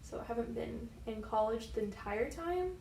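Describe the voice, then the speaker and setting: A woman's voice: a little high, lively intonation, moderate pace, a little mellow, echoey room, recorded from a distance, slight background noise. A woman in her early twenties, a student, talking plainly and casually about her time in college. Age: 20s. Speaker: student interviewee. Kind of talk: casual chat.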